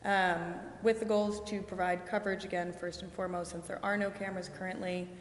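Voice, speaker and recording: A woman with a slightly low voice walks a city council through a vendor presentation. She talks steadily and explains things, with a lot of ums along the way. The sound is roomy, with a long echo from the chamber and a faint hiss behind it. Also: level tone, brisk. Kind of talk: sales presentation walking through slides. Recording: echoey, reverberant council chamber with faint noise.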